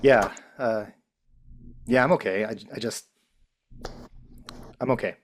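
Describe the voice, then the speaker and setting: A man playing a bewildered, frightened office newcomer in a script table read, halting and pleading. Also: lowish voice, slight background noise. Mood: shaken, unsure.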